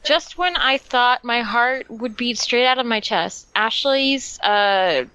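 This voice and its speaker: A woman's voice: fairly high pitch, slow, pushed and bright, smooth, low-quality recording. A woman co-hosting a podcast, chatting casually and reading a story aloud. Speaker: podcast co-host.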